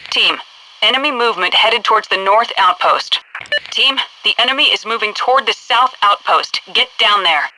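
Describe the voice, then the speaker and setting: An actress playing a composed, commanding headquarters officer who gives crisp, businesslike mission orders over military radio in a video game. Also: female voice, medium pitch, noisy background. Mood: urgent, firm.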